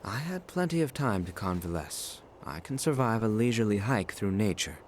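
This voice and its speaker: A man's voice: on the low side, expressive, normal speed, slight background noise, close to an everyday voice. An actor playing a proud, touchy, reserved male travelling companion in a video game, speaking in a formal, stiff manner. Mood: dry defiance.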